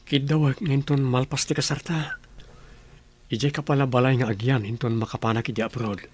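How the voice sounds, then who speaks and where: A man playing a doting, easygoing young fiancé in an Ilocano radio drama, with a husky voice. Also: mid-range voice, fairly even intonation, brisk, a little hiss or hum, lightly acted. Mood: affectionate. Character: affectionate young office worker, doting fiancé.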